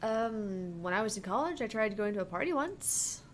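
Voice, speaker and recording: A woman voicing a dry, skeptical young female bartender in a video game, reserved and deadpan. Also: mid-range voice, normal speed, lightly acted. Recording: clear background noise.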